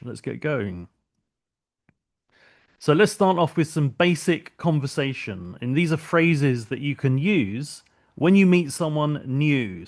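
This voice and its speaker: British man teaching a webinar. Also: mid-range voice. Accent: British English, London. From London, England. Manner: steady, patient teaching with frequent ums. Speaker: business English teacher. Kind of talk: online webinar lesson.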